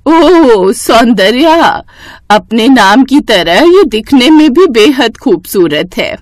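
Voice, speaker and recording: A woman voicing a brisk, persuasive older village aunt in a storybook tale. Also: fairly high pitch, very animated, no room sound, studio quality. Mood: amused, persuasive.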